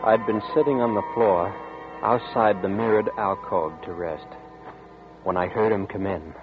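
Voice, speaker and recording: A man's voice: on the low side, close to an everyday voice. Man playing a frightened young suspect. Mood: tense narration. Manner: nervous, halting, stammering. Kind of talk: 1940s radio drama, first-person narration. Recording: tinny old radio broadcast with music.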